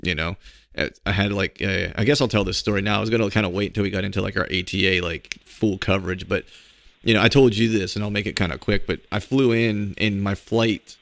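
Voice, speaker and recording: Hoarse, gravelly low-voiced man hosting. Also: steady tone, fairly quick, a bit bright, no room sound. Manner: laid-back, rambling storyteller with filler words. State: hoarse from a cold. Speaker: podcast host. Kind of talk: casual podcast chat.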